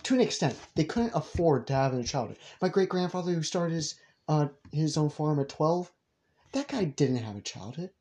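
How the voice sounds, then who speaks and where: A man's voice: on the high side, expressive, moderate pace, a little mellow. A young man in casual group conversation, speaking in an emphatic, opinionated way.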